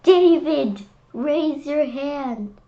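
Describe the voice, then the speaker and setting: A young female reader voicing an exasperated, strict schoolteacher in a storybook, loud and commanding with drawn-out words. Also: high-pitched, muffled recording, voice pushed up, heavily acted. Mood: exasperated scolding. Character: exasperated, strict schoolteacher scolding a naughty boy.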